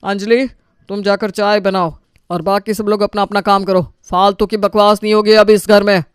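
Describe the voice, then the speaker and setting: A man's voice: sits high, flat delivery, moderate pace, clean tone, no echo, clean studio sound, natural voice. A man playing an irritated older father in a family story, scolding and giving orders. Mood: angry. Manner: scolding, commanding.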